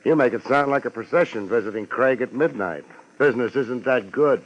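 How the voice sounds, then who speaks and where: An American man playing a world-weary, wisecracking private detective and narrator in a deadpan 1950s radio drama style. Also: medium pitch, a little fast, dull audio, natural voice. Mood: coolly sardonic. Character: wry, world-weary private detective, cool under threat. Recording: noisy vintage 1950s radio recording.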